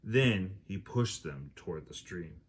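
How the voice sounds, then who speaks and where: A man reading a children's chapter book aloud as an expressive storyteller who acts out the dialogue. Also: low-pitched, takes it slowly, husky. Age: adult.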